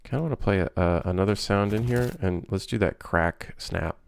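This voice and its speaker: Very low, gravelly man, casual streamer. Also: normal speed, slight background noise. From Switzerland. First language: French. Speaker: game developer livestreamer. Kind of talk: casual livestream chat while working.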